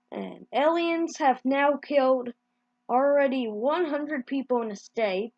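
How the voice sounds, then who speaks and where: A woman improvising mock emergency news bulletins as an amateur announcer, with halting delivery and mid-word corrections. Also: high voice, a little mellow, dry recording, muffled recording.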